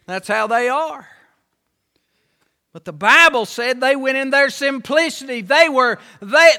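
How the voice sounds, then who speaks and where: A man's voice: extremely high pitch, sharp tone, clear, resonant tone. A man preaching a sermon, with an impassioned, repetitive cadence that rises and falls. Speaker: pastor.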